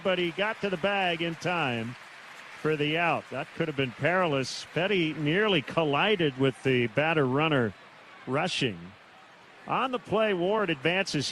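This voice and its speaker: Higher-voiced man calling baseball on radio. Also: fairly bright tone. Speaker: baseball play-by-play announcer. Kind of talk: live radio baseball commentary. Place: stadium broadcast booth. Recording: clear noise behind the voice. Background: outdoor ambient stadium noise.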